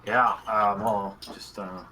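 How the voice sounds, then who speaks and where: A man's voice: on the low side, little pitch movement, takes it slowly, sharp tone, slight background noise, rough recording. A man chatting casually as a player in a tabletop game, hesitant and offhand.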